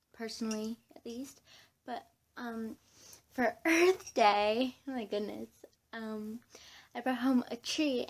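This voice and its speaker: A young woman chatting casually to camera as a vlogger, in a scattered, giggly way, often interrupting herself. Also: fairly high pitch. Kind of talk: casual rambling chat to camera.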